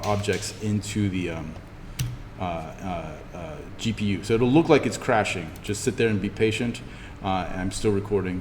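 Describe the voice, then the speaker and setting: A man giving an informal in-class software tutorial, explaining step by step as he demonstrates. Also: on the low side. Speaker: instructor. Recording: reverberant room with background noise.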